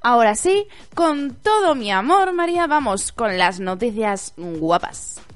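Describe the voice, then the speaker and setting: A woman from Spain hosting a casual radio chat show, hoarse from a sore throat and playful, often laughing. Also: on the high side, big pitch swings, unhurried, over loud music. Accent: Spanish from Spain. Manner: playful, giggly, chatty. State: hoarse from pharyngitis. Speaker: podcast host.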